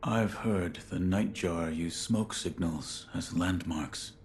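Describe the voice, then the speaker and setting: A man's voice: low voice, takes it slowly, slight background noise. A man playing a stoic, dutiful shinobi warrior in a video game, speaking in a terse, grave and restrained way. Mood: flat, inquiring. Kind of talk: dramatic video game dialogue.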